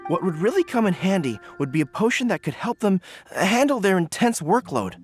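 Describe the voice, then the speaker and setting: A boyish, high-pitched young general, earnest and modest with lively swings in his delivery. He sounds slightly awkward, in game cutscene style with faint music behind. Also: a little fast. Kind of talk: video game cutscene acting.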